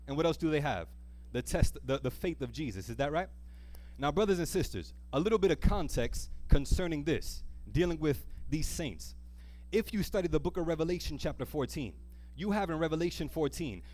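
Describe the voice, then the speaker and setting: A man's voice: a little high, a little mellow. A man preaching to a congregation, earnest and emphatic, repeating key phrases and prompting listeners with questions. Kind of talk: church sermon with call-and-response questions to the congregation. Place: speaking live to a church congregation.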